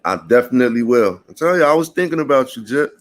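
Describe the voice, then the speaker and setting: A man, chatting casually to passersby and viewers in a relaxed morning vlog, calling everyone brother and man. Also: mid-range voice, fast talker. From Puerto Rican family. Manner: laid-back, slangy, calls people brother and man. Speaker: vlogger.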